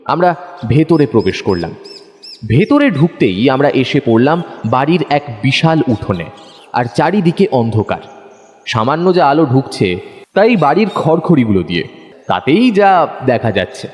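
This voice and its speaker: A man's voice: mid-range voice, sing-song, normal speed, a little mellow, natural voice. A man playing a detective's observant, curious companion, telling the story in the first person as a dramatised audio narration. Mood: storytelling. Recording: long hall reverb, faint background noise.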